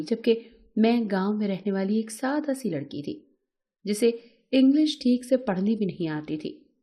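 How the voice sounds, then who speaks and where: Female story narrator, high-pitched but soft and dark in tone, measured dramatic delivery. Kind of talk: scripted first-person emotional story narration.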